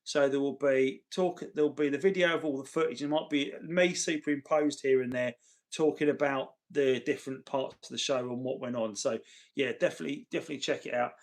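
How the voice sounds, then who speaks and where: A man hosting a casual livestream, chatting chummily with viewers, his voice tired and getting gravelly. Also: medium pitch, fairly even intonation, fairly quick. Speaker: livestream host. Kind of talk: casual livestream chat, reading and answering viewer messages.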